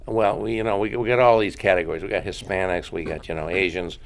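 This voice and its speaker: A man's voice: low-pitched, fairly even intonation, fast talker. A man hosting a talk show, often cutting in with questions. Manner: interjecting, questioning. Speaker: host.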